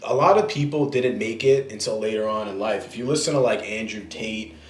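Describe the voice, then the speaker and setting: A man's voice: fairly low pitch, brisk. A young man hosting a podcast, speaking in hyped, motivational casual chat and swearing freely. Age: young adult. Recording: echoey room.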